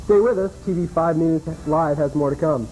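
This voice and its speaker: A male anchor reads bulletins for a campus newscast in a steady, practiced way. His voice is a touch higher than most men's and rounded and dark in tone, with faint hiss in the background. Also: normal speed, rough recording. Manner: steady newsreading. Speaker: news anchor. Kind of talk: read news bulletin.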